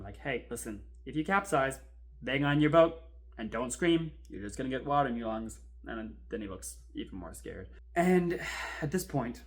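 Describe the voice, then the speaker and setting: A man performs a talkative kayak rescuer telling a horror story as if to a friend. He is wry and informal, halting for suspense as he recounts a strange ordeal in a drama monologue. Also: mid-range voice, lightly acted. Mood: uneasy. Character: chatty kayak rescuer recounting an eerie near-drowning.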